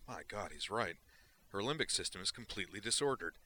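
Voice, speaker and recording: A man doing a gruff, alarmed starship doctor. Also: lowish voice, lively intonation, fairly quick, sharp tone, slight background noise. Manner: exclaiming, alarmed.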